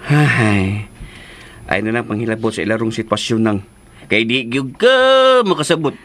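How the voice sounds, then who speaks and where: A man's voice: fairly low pitch, animated, normal speed, a bit bright. An actor in a radio drama playing a protective, teasing father with an exclamatory, scolding and coaxing manner. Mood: teasing, exasperated. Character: excitable, protective, teasing father of a simple daughter.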